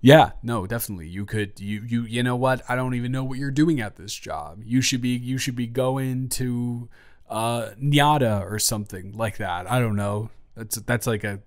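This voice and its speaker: A man plays a joking, flirty office boss in an improvised roleplay audio. He teases easily and trips over his own words, restarting often. His voice sits at an ordinary male pitch. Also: normal speed, dry recording, studio quality, close to an everyday voice. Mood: amused, teasing. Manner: rambling, stammering, self-interrupting; playful, teasing.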